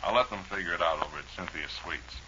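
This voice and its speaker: A man's voice: low voice, fast talker. A man with an American accent hosting an old-time radio show, rambling through ad-libbed chat and commercials in a folksy, wry way. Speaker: radio host. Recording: dull, noisy old radio broadcast recording.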